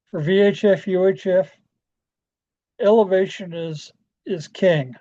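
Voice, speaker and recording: Male presenter, mellow and dark, halting folksy delivery for an informal club training talk, slightly muffled lo-fi sound. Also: high voice. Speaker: amateur radio club presenter. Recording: dull, lo-fi audio.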